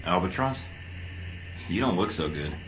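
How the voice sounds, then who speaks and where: An actor playing a young American secret agent who is cool, dry, understated and determined. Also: male voice, low-pitched, lively intonation, a little slow, background noise. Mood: guarded.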